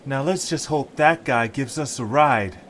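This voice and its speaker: A man's voice: mid-range voice, a little slow, a little hiss or hum, natural voice. A man voicing a young creature hero in an audio story, casual, reasoning and a little sardonic. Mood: friendly, upbeat. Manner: casual, expressive.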